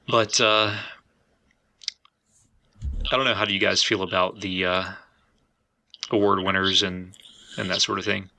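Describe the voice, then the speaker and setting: Guy hosting a podcast, low creaky voice but really bright and sharp, chatting casually. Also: level tone, a little slow, long pauses. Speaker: podcast host.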